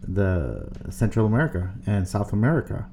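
Mellow low-voiced male host. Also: sing-song, moderate pace, creaky, slight background noise. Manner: warmly admiring, supportive questions. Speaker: podcast host. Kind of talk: podcast interview.

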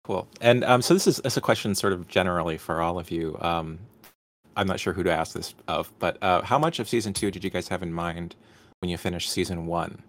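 A man hosting a casual question and answer session, halting and repeating words as he forms questions. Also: deep voice, a bit bright, no echo. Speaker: panel moderator.

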